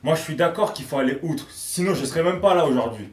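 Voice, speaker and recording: Man, slightly high voice, brisk pace, emphatic, repetitive, distant roomy sound. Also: animated. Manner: emphatic, repeats himself. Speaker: debate panelist. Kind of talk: heated casual group debate. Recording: echoey room, away from the mic.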